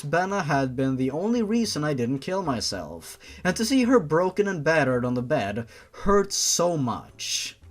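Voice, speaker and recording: A narrator reading fan fiction dramatically, with a theatrical, sarcastic manner. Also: medium pitch. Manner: theatrical, sarcastic, sweary outbursts. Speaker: narrator and commentator.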